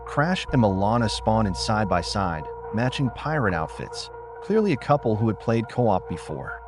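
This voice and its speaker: A man's voice: fairly low pitch, sing-song, moderate pace. A man narrating a scripted first-person story as an audiobook. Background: music.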